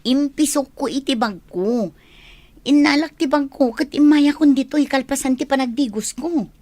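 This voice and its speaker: Woman playing an anxious, high-voiced young woman. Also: moderate pace, a little mellow, no room sound, natural voice. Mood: upset. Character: anxious, insistent young working woman missing her phone. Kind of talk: radio drama acting.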